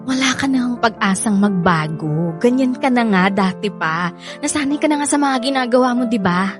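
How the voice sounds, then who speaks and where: A woman's voice: fairly high pitch, lively intonation, normal speed, music in the background, natural voice. An actress playing a feisty, guarded young woman in a radio drama, with a Filipino accent and an exasperated, emotive delivery. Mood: skeptical, flustered.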